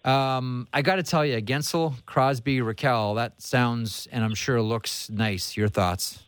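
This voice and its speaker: A man's voice: mid-range voice, takes it slowly, clean tone, dry recording. A man hosting a sports talk show, speaking casually and conversationally about hockey, with blunt asides.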